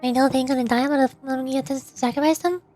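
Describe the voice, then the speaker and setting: A woman's voice: sits high, fairly even intonation, quick pace, a little mellow, soft background music. A woman streamer giving chatty, rambling, casual commentary on a game. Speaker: streamer, VTuber.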